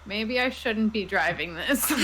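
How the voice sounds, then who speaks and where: A woman's voice: a little high, noisy background. Casual woman playing a tabletop game. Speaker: roleplaying game player.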